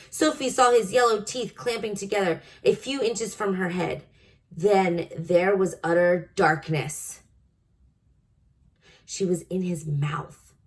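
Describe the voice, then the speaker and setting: A woman reading a children's book aloud as an expressive storyteller. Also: mid-range voice.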